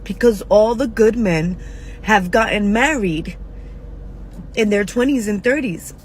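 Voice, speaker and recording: A woman in her forties speaking in a frank, halting personal video, with many fillers and pauses. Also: medium pitch, a little hiss or hum, rough recording. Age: 40s. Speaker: social media poster.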